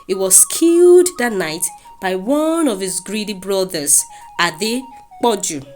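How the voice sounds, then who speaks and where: A woman's voice: mid-range voice, animated, takes it slowly, darkish tone. A woman with a Nigerian accent narrating a scripted folktale in a dramatic storytelling style. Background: music.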